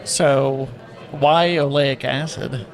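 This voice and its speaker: A man's voice: mid-range voice, steady tone, drawn-out words, vocal fry. A man asking short probing questions as co-interviewer at a live conference. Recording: live event with crowd chatter behind.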